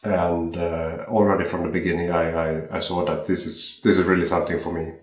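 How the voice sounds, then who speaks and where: Low-voiced Swedish man, hesitant and roomy. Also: flat delivery, a little fast. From Sweden. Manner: hesitant, repeats words while recalling his career. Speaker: watchmaker guest. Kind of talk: casual interview chat. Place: room in a home. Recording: roomy home interview.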